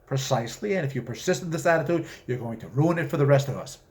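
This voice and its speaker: A man's voice: medium pitch, animated, fast, close to an everyday voice. A man voicing a demon tour guide in a short story reading, announcing with exaggerated cheer like a bossy schoolteacher. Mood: scolding.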